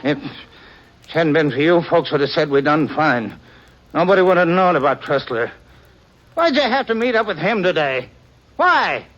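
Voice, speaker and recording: A hot-headed, defiant cowboy gunman in a vintage radio western. His voice sits high and pushes with threat and stubborn anger. The old recording is dull and narrow. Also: sing-song, normal speed, long pauses, fairly bright tone. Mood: desperate protest. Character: angry, defiant cowboy vigilante with a gun. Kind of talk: 1950s radio western acting.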